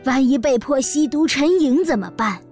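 A woman's voice: high-pitched, normal speed, darkish tone, soft background music, close to an everyday voice. A woman playing an overprotective middle-aged mother, fretful and pleading, in an audio drama. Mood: alarmed.

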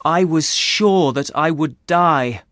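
A man's voice: a little high, slow pace, a bit bright, no echo, pitched above the performer's own voice. A man doing an earnest, grateful young man. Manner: earnest, emotional thanks. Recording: dry close studio sound.

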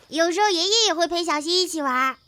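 A woman playing an innocent, sweet little girl in an audio drama, talking in simple, halting childlike phrases. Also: very high-pitched, animated, pushed and bright, exaggerated voice. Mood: innocent, earnest. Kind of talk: modern audio drama acting.

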